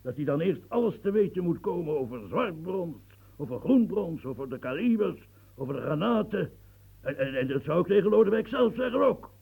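A man's voice: fairly high pitch, sing-song, fairly quick, creaky, dark tone, husky, exaggerated voice, poor audio. A man playing an aging, embittered French marshal in a radio play, stammering and repeating himself when agitated. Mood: bitter, worked-up.

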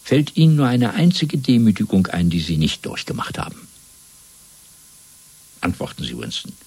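A man playing a cold, fanatical Party interrogator in a radio drama, speaking in a measured, domineering, rhetorical way. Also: lowish voice, sing-song, a little mellow, slightly rough, no room sound, heavily acted. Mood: cold, cruel. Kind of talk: German radio drama acting.

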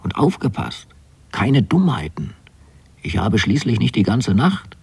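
Domineering older eccentric for radio play , played by a man: low, husky, cryptic, bossy ranting and curt questions. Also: sing-song, measured pace, darkish tone, heavily acted. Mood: commanding. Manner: bossy, rambling, declaiming, barked questions.

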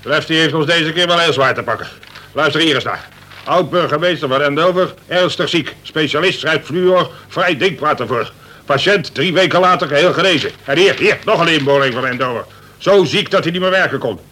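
An actor playing a worried, blustering prison governor, a cautious and officious colonel, in a Dutch radio play. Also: male voice, a little high, fairly quick, sharp tone. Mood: alarmed, harassed. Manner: exasperated, swearing, officious.

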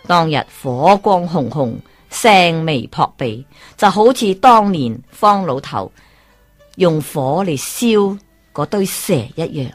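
Low-voiced Hong Kong Cantonese woman storyteller. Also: takes it slowly, no echo, soft background music. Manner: lively, exclaiming storyteller. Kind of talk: Cantonese folk-tale narration.

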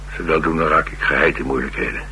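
An actor with a Netherlands Dutch accent playing a troubled, blunt ex-soldier and restless drifter in a radio drama, wry and halting in delivery. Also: male voice, rumbling low, fairly even intonation, bright, forceful tone, full character voice. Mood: troubled, uneasy. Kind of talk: radio drama dialogue acting. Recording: radio drama with clear hiss and hum.

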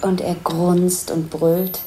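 A woman playing a curious, bemused onlooker who describes a film scene in an audio drama, halting and savouring the details. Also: fairly low pitch, level tone, very noisy. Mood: bemused, suggestive. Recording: music behind the voice.

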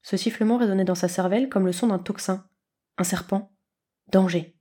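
A woman's voice: mid-range voice, normal speed, dark tone, slightly rough. A woman reading literary storybook narration as the narrator.

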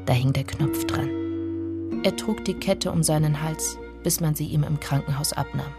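A woman with a low voice delivers first-person radio drama narration in short, measured phrases over music. The telling is literary and deliberate. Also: gravelly. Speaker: actor narrating.

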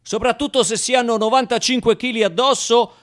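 A man performing a theatrical first-person monologue as an actor, in an expressive, colloquial storytelling delivery. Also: extremely high pitch, a little fast.

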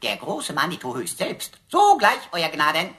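A woman's voice: on the low side, wildly swooping pitch, normal speed, a bit bright. A woman playing a sassy talking computer in a radio play, declaiming in florid, mock-servile phrases. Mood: cheeky, theatrical. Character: sassy talking computer sidekick, theatrically grovelling and mock-poetic. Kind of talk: German radio play acting.

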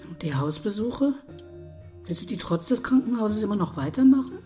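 A woman playing a caring older mother, gently concerned for her grown son, in a radio drama. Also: medium pitch, very animated, moderate pace, faint music behind, natural voice. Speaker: actor.

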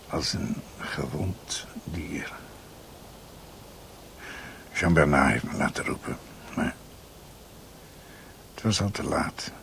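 An actor playing a grieving, guilt-ridden doctor who speaks in a halting, brooding way in a Dutch radio drama. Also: male voice, a bass voice, gravelly, fairly bright tone, slight background noise, exaggerated voice. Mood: sombre. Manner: halting, haunted, brooding delivery.

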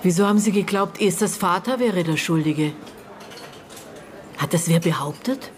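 Actress doing prickly middle aged witness lady, lowish voice, guarded n curt, bit hesitant, radio play. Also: animated, moderate pace, crowd noise, lightly acted. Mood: guarded, recollecting. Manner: hesitant recollection, curt retorts. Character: prickly, guarded aunt of a dead girl, witness. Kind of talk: radio drama dialogue.

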